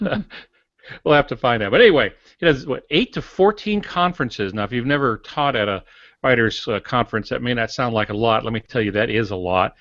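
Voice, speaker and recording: A man in his sixties co-hosting a podcast, introducing things informally in a halting, folksy, lightly joking way. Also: medium pitch, lively intonation, a bit bright, dry recording, dull audio. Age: 60s. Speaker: podcast co-host.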